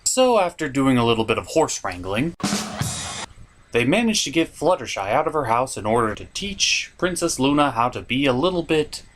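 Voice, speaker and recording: A man's voice: medium pitch, sing-song, normal speed, over music. A man giving a scripted comedic review as host, with an exasperated, sweary, ranting delivery. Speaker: puppet-show reviewer and host.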